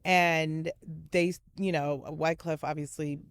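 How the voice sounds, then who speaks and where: A woman in her forties from Miami, an interview guest telling her story in a casual, animated way with many restarts and fillers. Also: low-pitched, takes it slowly, smooth, no echo. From Miami, USA.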